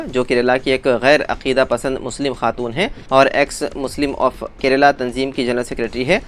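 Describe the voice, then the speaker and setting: A man presenting scripted news in a formal bulletin delivery, as a news presenter would. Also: medium pitch.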